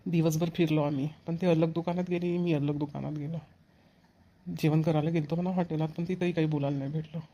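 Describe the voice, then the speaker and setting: Casting: male actor as young village groom-to-be for cartoon, Vidarbha Marathi, earnest and eager, very brisk pace. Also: fairly high pitch, little pitch movement, warm tone, dull audio. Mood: musing, eager. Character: eager young village bridegroom, a dutiful son. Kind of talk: amateur cartoon dialogue.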